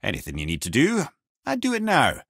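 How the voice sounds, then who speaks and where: A man's voice: medium pitch, wildly swooping pitch, brisk, vocal fry, a bit bright, husky, no room sound, studio quality, full character voice. A man with a Scottish accent voicing a wise, wry, talkative old sage in a video game, telling tales eloquently in colourful old-fashioned phrases. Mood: sage advice. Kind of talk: video game character lines, storytelling and banter.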